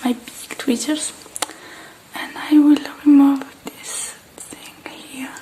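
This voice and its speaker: A woman doing an ASMR repair roleplay, speaking in soft, breathy whispers and hushed, halting murmurs close to the mic, addressing the listener. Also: sits high, level tone. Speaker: ASMR artist.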